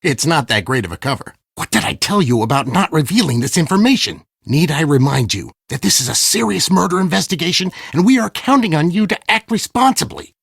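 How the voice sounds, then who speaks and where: An actor playing a smug, impatient schemer who poses as a police detective in an adventure game, with a condescending, theatrical delivery. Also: male voice, on the high side, very animated, brisk, vocal fry, few pauses, fairly bright tone, husky, dry recording, clean studio sound, exaggerated voice. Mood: businesslike, stern. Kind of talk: scripted game character dialogue.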